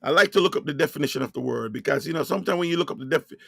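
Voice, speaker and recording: A man from Jamaica with a Jamaican accent, preaching in a slow sermon-style monologue and hammering his points home by repeating and spelling out key words. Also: fairly high pitch, expressive, gravelly, sharp tone, slightly rough, dry recording, clean studio sound.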